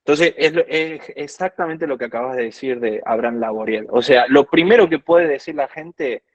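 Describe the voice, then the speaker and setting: A man's voice: mid-range voice, normal speed, no echo, muffled recording. A man from Argentina with an Argentine accent, a guest worship minister teaching earnestly in conversation and quoting scripture at length. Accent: Argentine Spanish.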